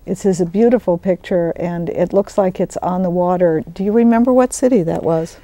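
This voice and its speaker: Woman host with a mellow voice. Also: fairly low pitch, fairly quick, no room sound. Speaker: interview host; college business instructor. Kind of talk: scripted introduction and conversational interview questions.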